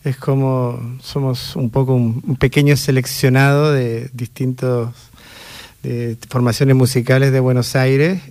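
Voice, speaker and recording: A man's voice: medium pitch, level tone, measured pace, a little mellow, no room sound. A man with a Chilean accent, an orchestra conductor speaking as a radio interview guest. He talks thoughtfully and hesitates often with fillers.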